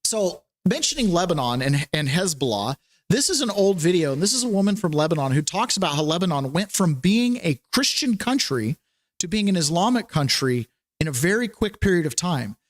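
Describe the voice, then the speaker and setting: A man's voice: sits high, big pitch swings, fairly quick. A man hosting a casual political talk show, joking and sardonic, laughing often. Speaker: show host.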